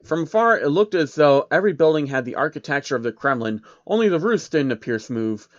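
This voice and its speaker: A man's voice: on the high side, animated, brisk, no echo, highs cut off. A man reading out a comic story as scripted narration.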